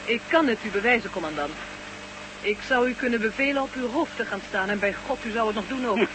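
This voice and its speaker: A woman's voice: fairly high pitch, animated, brisk, lightly acted. Noisy old radio drama, actress playing serious female defector, composed, dry, formal, assured, hum behind. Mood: grave, assured. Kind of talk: Dutch science fiction radio play acting.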